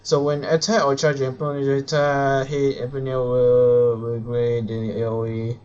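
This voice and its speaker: A man's voice: mid-range voice, completely flat delivery, moderate pace, a little mellow. Dull-sounding male game streamer. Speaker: live streamer playing a video game. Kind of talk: casual game livestream reaction. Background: faint game music.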